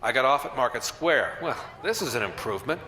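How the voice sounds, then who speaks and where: A man's voice: medium pitch, lively intonation, fairly quick, sharp tone, a little hiss or hum. A man playing a wisecracking, old-fashioned newspaper reporter in a radio drama, narrating with hard-boiled, slangy wit. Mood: wry. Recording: roomy echo, faint music behind.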